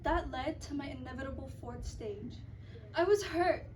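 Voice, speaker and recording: A high-voiced young woman recites in a readers theater style. She sounds earnest and theatrical. The microphone is at a distance, with faint noise in the recording. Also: normal speed. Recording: distant mic, background noise.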